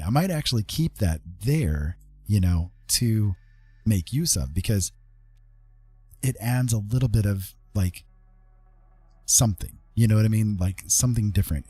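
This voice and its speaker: A man with a husky voice chatting casually as he paints on a live stream, rambling and self-deprecating, often checking that listeners follow. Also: on the low side, dark tone. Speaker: artist and writer hosting a live stream.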